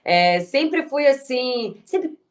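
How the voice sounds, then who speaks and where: A woman's voice: sits high, big pitch swings, drawn-out words. A woman from Salvador with a Bahian Brazilian accent, speaking expressively like a stage performer as she declaims and tells stories. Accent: Bahian Brazilian Portuguese. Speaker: guest actress, writer and improviser. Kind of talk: declaimed poetry and personal storytelling.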